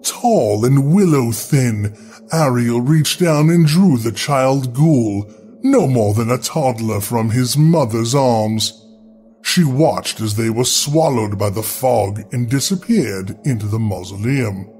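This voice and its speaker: Slow, expressive male horror narrator. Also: medium pitch, faint music behind. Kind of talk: scripted gothic story narration.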